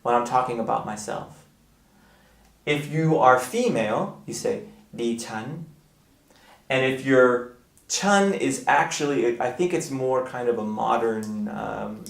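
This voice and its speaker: A man with a mid-pitched, creaky voice talks through an explanation in an informal way. He halts to think aloud and puts weight on key words. The room adds a noticeable echo around his voice. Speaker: video blogger. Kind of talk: casual explanatory monologue to camera.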